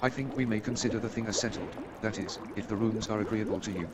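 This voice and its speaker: A man's voice: fairly low pitch, quick pace, close to an everyday voice. A man playing an eager, self-assured amateur detective and chemist in a staged radio play, speaking in an emphatic, pedantic way. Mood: brisk, candid. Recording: noisy with watery background sound.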